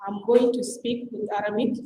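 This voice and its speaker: A woman's voice: mid-range voice, fairly even intonation, normal speed, mellow, slight background noise. A woman, not an English speaker, giving an impassioned conference speech as a women's organization leader, emphatic and repeating phrases for effect. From South Sudan. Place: conference hall. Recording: echoey hall, mic at a distance.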